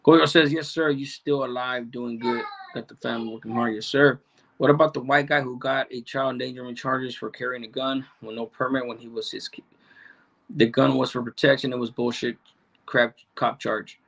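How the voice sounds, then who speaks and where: A man's voice: mid-range voice, fairly even intonation, normal speed, creaky, a bit bright. A middle-aged man, chatting casually on a livestream in streetwise slang and heavy swearing, often repeating himself. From Salvadoran, raised in Chicano neighborhoods. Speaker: livestream host answering chat.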